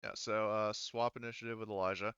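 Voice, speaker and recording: Man, game master, low smooth voice, casual improvised narration, thinking aloud, dry slightly muffled recording. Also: flat delivery, a little fast, a bit bright, dry recording. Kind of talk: running a tabletop sci-fi roleplaying game.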